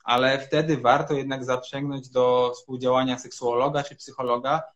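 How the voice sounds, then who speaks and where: A man in his thirties, a urologist guest, explaining treatments in measured sentences with frequent hesitations over a video call from a room at home. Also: mid-range voice, flat delivery, a bit bright. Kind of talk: explanatory interview answers.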